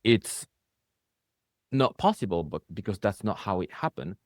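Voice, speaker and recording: A man's voice: fairly low pitch, sing-song, unhurried, dry recording, clean studio sound. A man with a Japanese accent, speaking English as a later language, hosting a reflective conversation and checking in with "right?" as he goes. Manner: speaks in rising bursts, often ends with "right?". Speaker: spiritual coach hosting a conversation.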